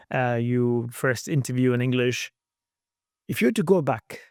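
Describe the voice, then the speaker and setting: A man hosting a podcast, asking his guest encouraging, measured questions. His voice sits at an ordinary pitch, and it is recorded close and dry with no room sound. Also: a little mellow, no room sound. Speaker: podcast host. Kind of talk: podcast interview.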